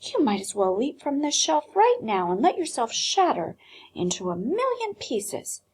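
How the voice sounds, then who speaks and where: A woman's voice: high voice, wildly swooping pitch, a little slow, voice pushed up, full character voice. A woman voicing a bossy old antique doll in a storybook, with an emphatic, exhorting delivery. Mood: scolding. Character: old female antique doll, stern, bossy, urging hope.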